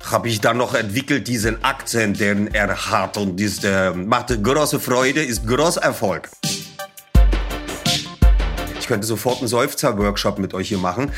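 A man's voice: lowish voice, measured pace, music in the background. A male voice actor telling anecdotes in casual conversation. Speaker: voice actor, interviewee.

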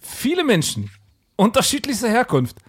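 A man guest on a podcast, a TV presenter, chatting casually in an animated, joking way. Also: extremely high pitch, normal speed, breathy edge. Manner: animated, laughs often, joking.

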